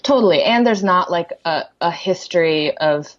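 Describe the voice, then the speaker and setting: A woman's voice: lowish voice, animated, normal speed, highs cut off. A poet talking casually in an interview, her first language Korean, chatty and full of "like" fillers and restarts. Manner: chatty, many "like" fillers, restarts, laughs easily. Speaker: poet, guest. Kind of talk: casual conversation.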